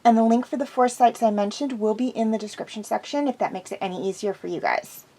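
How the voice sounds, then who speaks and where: Woman, fairly high voice, chatty, enthusiastic, a little stuffy from a cold. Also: fast, dark tone. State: slightly congested from a cold. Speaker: vlog host reviewing episodes. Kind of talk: casual review monologue.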